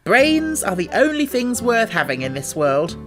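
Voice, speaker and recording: A man's voice: high voice, sing-song, measured pace, fairly bright tone, faint music behind, pitched above the performer's own voice, heavily acted. A man voicing a worldly old male crow in a storybook, blunt and knowing. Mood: sage.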